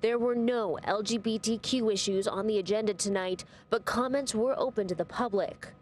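A young woman reporting live at night from an outdoor lot with a handheld mic, in a crisp, scripted manner. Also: medium pitch, normal speed, darkish tone, a little hiss or hum. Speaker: field reporter.

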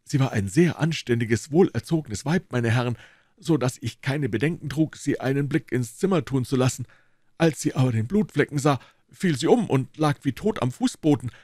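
A man's voice: mid-range voice, expressive, moderate pace, darkish tone, no room sound, clean studio sound, voice pushed up, lightly acted. A stout, red-faced constable, as a male reader puts him on speaks in a raised, anxious voice. He pleads and explains himself over and over, eager to be believed. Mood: explaining. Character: stout, flustered, apologetic male police constable. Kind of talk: audiobook character voice.

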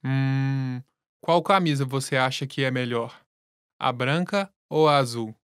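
A man reading scripted lesson dialogue in a plain, relaxed way. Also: medium pitch, a little slow, clean tone, no room sound. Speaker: language lesson voice actor.

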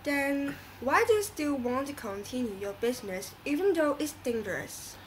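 A woman's voice: high voice, very animated, moderate pace, slight background noise, low-quality recording. A woman playing an earnest, moralizing talk show host in a scripted radio drama, reading didactically.

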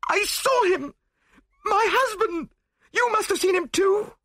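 Man putting on a shrill, hysterical woman, very high. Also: slow pace, sharp tone, no echo, clean studio sound, heavily acted. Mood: terrified. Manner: gasping, shrill, melodramatic. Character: hysterical, terrified married woman.

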